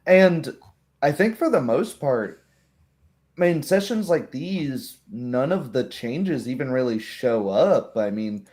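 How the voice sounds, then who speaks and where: A man's voice: medium pitch, lively intonation, slow pace, darkish tone. A man who runs a tabletop game, talking casually in a group discussion.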